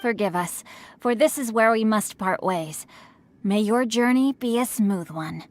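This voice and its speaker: A courtly, bookish young noble. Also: male voice, high voice, unhurried. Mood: refined. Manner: courtly, eloquent. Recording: game cutscene with faint music.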